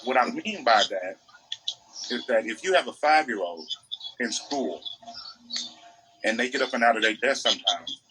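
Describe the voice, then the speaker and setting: A man's voice: medium pitch, lively intonation, moderate pace, long pauses, bright, forceful tone, slight background noise, poor audio. An American man chatting casually as a podcast co-host, making opinionated points emphatically and repeating phrases.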